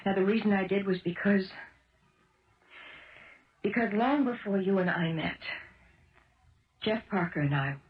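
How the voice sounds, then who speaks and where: An American actress playing an earnest, persistent detective's wife in a 1970s radio drama, speaking in a deliberate, pleading way. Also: female voice, mid-range voice, natural voice. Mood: confessing. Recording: echoey, dull old radio broadcast.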